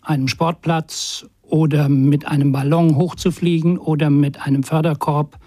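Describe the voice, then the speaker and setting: A man recollecting in an interview style, halting, with pauses between his phrases. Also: fairly high pitch, flat delivery, mellow, no room sound.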